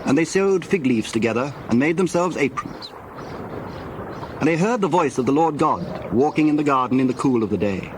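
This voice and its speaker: A male narrator delivers scripture as solemn, measured storytelling. His voice sits at a medium pitch, with clearly audible ambient noise behind it. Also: expressive.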